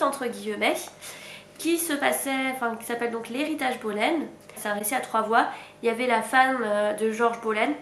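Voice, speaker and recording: Young woman vlogging about books w a cold, high voice, echoey room, faint rumble behind. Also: fairly bright tone. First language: French. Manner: chatty, frank, with sighs and little laughs. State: sick with a stuffy nose. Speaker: book vlogger. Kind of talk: casual reading update.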